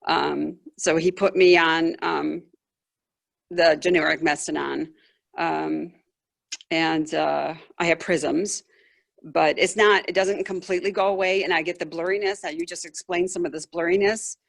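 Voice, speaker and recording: Woman with a creaky, gravelly edge. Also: low voice, fairly even intonation, measured pace. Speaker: patient asking a doctor questions. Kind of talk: conversational account of symptoms. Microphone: video call.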